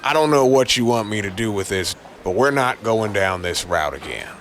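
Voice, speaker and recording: A man voicing a gruff, weary, irritable New police chief, blunt and streetwise, in a noir audio drama. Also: fairly low pitch, lively intonation, moderate pace, a bit bright, a little hiss or hum. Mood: exasperated.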